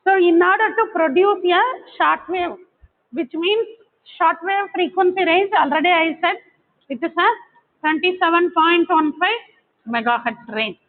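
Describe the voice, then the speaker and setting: A woman giving a technical lecture, explaining step by step in short, halting phrases. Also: very high-pitched. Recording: tinny, phone-like narrowband audio.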